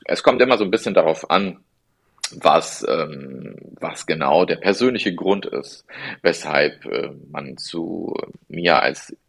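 Man, low voice, gravelly, earnest, measured, lots of ums and ahs. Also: a bit bright. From born in Italy, raised in the Rhineland. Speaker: family lawyer, guest. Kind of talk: podcast interview answer.

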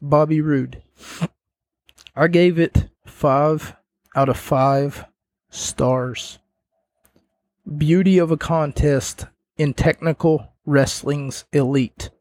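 A man's voice: a little high, fairly even intonation, drawn-out words, pauses often, a little mellow, no echo. A man giving a casual spoken review and recap as host, rambling with jokey asides, his voice starting to wear out. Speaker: wrestling show reviewer, host.

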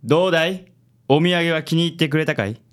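A man playing a suave, vain handsome company president in a Japanese radio comedy, smooth and affected, dropping in English words. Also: on the high side, quick pace, natural voice. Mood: suave, pleased. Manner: smooth, self-assured, affected. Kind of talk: Japanese comic radio drama acting.

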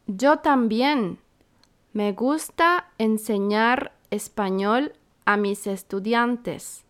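Woman teacher; slow, carefully articulated lines, slightly high pitch. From Santiago, Chile. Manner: clear, deliberate, carefully spaced phrasing. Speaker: podcast co-host, Spanish teacher. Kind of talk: slow, scripted beginner language lesson dialogue.

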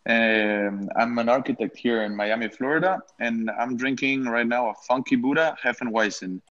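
A man's voice: mid-range voice, normal speed, fairly bright tone, highs cut off. A man from Ecuador, an architect, introducing himself as a podcast guest with a few fillers. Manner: brief self-introduction with fillers.

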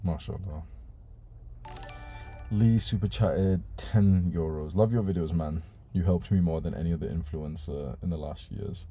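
Low, dark-voiced young man streaming. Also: unhurried, soft background music. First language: Urdu. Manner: laid-back, swears casually, reads chat messages aloud. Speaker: live stream host reading donations. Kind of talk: casual live stream chat.

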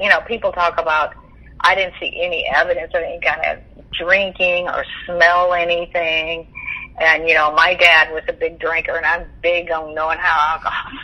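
A woman's voice: lowish voice, pushed and bright, poor audio. An older woman, reminiscing in a talkative, rambling, conversational way over the telephone. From Texas, United States. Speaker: interview guest sharing memories.

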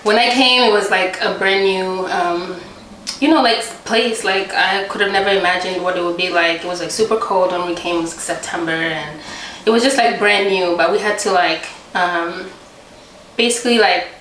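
A woman's voice: lowish voice, normal speed, a bit bright, roomy sound, a little hiss or hum, low-quality recording. A young woman from Tanzania, a guest, chatting casually in an interview and filling her sentences with "like" and "um". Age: young adult. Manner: chatty, many "like" and "um" fillers.

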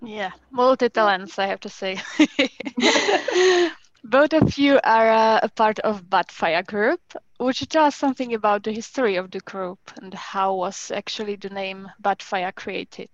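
A woman's voice: mid-range voice, lively intonation, unhurried, no room sound, lo-fi sound. A woman hosting a podcast and interviewing two guests in a casual, friendly way, laughing often. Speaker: podcast host.

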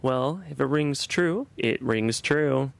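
A man's voice: mid-range voice, slow, gravelly, dry recording. A man hosting a podcast in a conversational presenting style. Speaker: podcast host.

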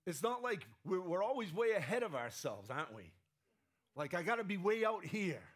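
A man's voice: high-pitched, very animated, normal speed. A man preaching a conversational sermon, often asking the room "right?", his voice strained and sometimes cracking. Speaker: pastor.

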